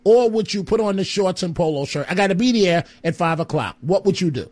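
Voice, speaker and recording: A man's voice: high voice, expressive, a little fast, a little mellow. A man hosting a call-in radio show, friendly and exclamatory, with rambling asides. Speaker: radio talk show host.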